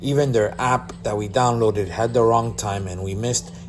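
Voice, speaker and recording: A man with a somewhat low voice narrates a review voice-over. He sounds blunt and keeps listing his complaints. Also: steady tone, normal speed, a little hiss or hum. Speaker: vlogger reviewing a cruise.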